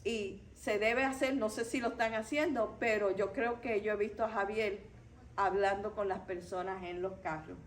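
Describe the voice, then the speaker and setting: A woman's voice: medium pitch, fairly even intonation, moderate pace, a little hiss or hum. A woman from Puerto Rico with a Puerto Rican accent, teaching a Bible study to a church group in a didactic way, stressing key points.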